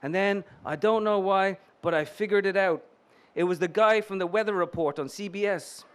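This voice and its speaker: A man's voice: moderate pace, smooth, close to an everyday voice. A man voicing a brash, wisecracking thirty-eight-year-old woman working the streets. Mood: sassy. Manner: sassy, wisecracking, lifts into a higher voice for her lines.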